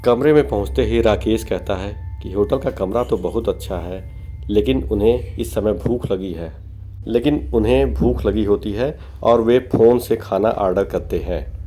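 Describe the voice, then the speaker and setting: A man's voice: fairly low pitch, unhurried, warm tone, a little hiss or hum. A man reading scripted narration of a horror story.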